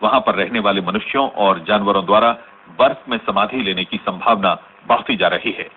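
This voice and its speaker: An actor playing a sober radio news announcer, reading formally and in a measured way within a radio drama. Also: male voice, on the low side, close to an everyday voice. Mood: grave, formal. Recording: tinny band-limited radio broadcast, faint noise.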